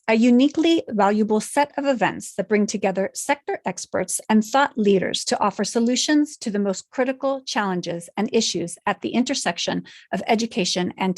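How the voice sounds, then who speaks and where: A woman giving a scripted sponsor read in a smooth, even delivery. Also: fairly high pitch, no room sound. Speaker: sponsor announcer.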